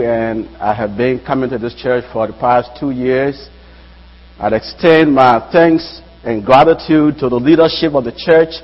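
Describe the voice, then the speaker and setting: A young man from Liberia, a Bible student, preaching a sermon in a church with measured delivery and rising emphasis on key phrases. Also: medium pitch. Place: church sanctuary. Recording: dull lo-fi sound with long hall reverb.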